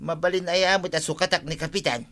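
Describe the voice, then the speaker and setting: A man's voice: a little high, fairly even intonation, fast talker, sharp tone. A man with an Ilocano Filipino accent acting a loyal village buddy in a radio comedy-drama. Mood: protesting, loyal.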